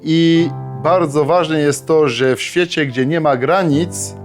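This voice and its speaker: A man's voice: on the high side, steady tone, slow, faint music behind. A man giving an earnest, solemn message to young people. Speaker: speaker addressing the youth. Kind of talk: earnest address.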